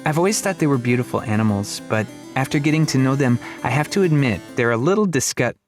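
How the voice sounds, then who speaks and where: A man's voice: mid-range voice, animated, quick pace, soft background music, close to an everyday voice. A man voicing a haughty, chatty young girl librarian from a storybook, prim and easily flustered. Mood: nervously chatty. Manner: prim, indignant, talkative. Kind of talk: storybook character acting.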